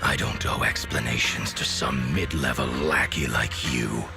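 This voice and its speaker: An actor playing a brutal, arrogant killer in a video game, menacing and hot-tempered, with a gravelly voice. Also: male voice, rumbling low, measured pace, exaggerated voice. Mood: contemptuous, demanding. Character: brutal, arrogant male killer turned cornered henchman. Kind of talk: dramatic video game voice acting. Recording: loud music under the voice. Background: loud music.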